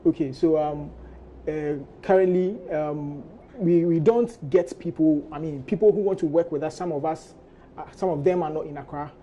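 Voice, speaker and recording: A man with a Ghanaian accent giving halting, spontaneous interview answers as a business guest. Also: fairly high pitch, mellow, highs cut off, a little hiss or hum. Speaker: fashion entrepreneur, studio guest.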